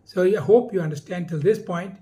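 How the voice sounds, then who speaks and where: A man presenting a simple explanatory talk to viewers in a deliberate, emphatic, teacherly manner. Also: high voice.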